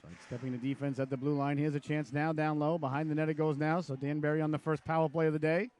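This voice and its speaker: A man with a raspy voice from a cold, calling live hockey play-by-play with chatty asides. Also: fairly high pitch, flat delivery, darkish tone. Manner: chatty asides between rapid calls. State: hoarse from a cold. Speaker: play-by-play announcer.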